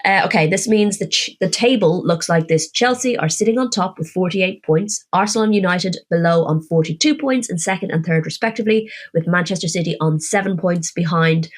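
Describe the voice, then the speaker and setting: A woman hosts a casual football podcast, her nasal voice bunged up and croaky from a cold. She talks in a chatty, self-mocking way, rattling through scores. Her voice sits a little low. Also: fairly quick, hardly any pauses. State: congested, croaky voice. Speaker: podcast co-host.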